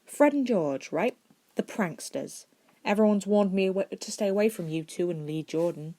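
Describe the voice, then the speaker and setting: A woman's voice: mid-range voice, expressive, normal speed, warm tone, voice pushed up, lightly acted. A woman voicing a wary, feisty young schoolboy in a storybook reading, guarded and blunt. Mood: suspicious.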